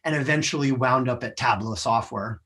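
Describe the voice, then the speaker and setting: A man's voice: mid-range voice, moderate pace, a bit bright. An American man in a podcast interview, talking conversationally as a sales professional. He explains things step by step with a mid-range voice and small checking phrases. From United States.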